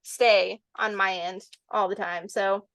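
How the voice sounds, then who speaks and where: A woman's voice: mid-range voice, steady tone, takes it slowly, a bit bright, no echo. A woman hosting her YouTube channel, interviewing a guest on a laptop video call in a friendly, giggly way with fillers.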